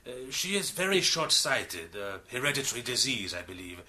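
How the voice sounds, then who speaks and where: An actor playing a big, expansive, reformed French criminal in a radio drama, with a French accent and an effusive, theatrical manner. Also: male voice, medium pitch, sing-song, normal speed, sharp tone. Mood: chatty, animated. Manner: effusive, theatrical, with hesitant asides.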